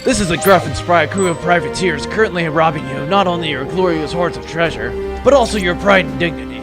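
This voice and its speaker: A man's voice: a little high, a little fast, vocal fry, music in the background. A man playing a cocky, sardonic privateer commander, theatrical in delivery, in a comic sci-fi audio drama. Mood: taunting.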